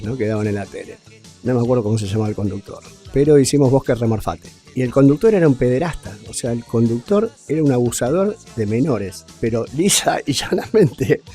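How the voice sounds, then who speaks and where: A man's voice: mid-range voice, mellow. A man with an Argentine accent giving a casual, slangy, emphatic interview.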